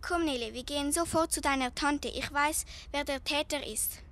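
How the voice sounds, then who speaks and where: A woman's voice: high-pitched, moderate pace, fairly bright tone. A young woman acting a blunt, nosy girl detective in an amateur radio play. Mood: accusing.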